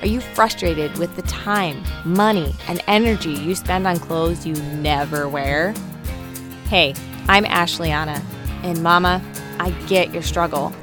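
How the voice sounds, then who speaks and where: A woman's voice: on the low side, measured pace. A woman reading a scripted, upbeat welcome for her podcast. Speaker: podcast host. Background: background music.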